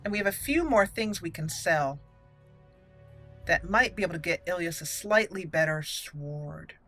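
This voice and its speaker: A woman's voice: lowish voice, lively intonation, moderate pace, a bit bright, soft background music. A woman hosting a gaming channel with casual roleplay commentary, chatty and easily amused.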